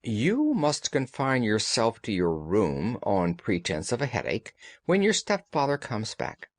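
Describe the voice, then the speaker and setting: A man voicing a commanding, analytical gentleman detective in an audiobook, speaking precisely and formally. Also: lowish voice, wildly swooping pitch, measured pace, dry recording, exaggerated voice. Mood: brisk, instructing. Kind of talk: audiobook character dialogue.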